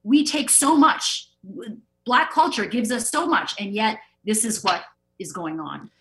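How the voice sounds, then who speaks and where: A woman's voice: on the high side, sing-song, a little slow, a bit bright. A woman speaking emphatically and casually as a panelist in an impassioned discussion. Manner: emphatic, outspoken, casual swearing.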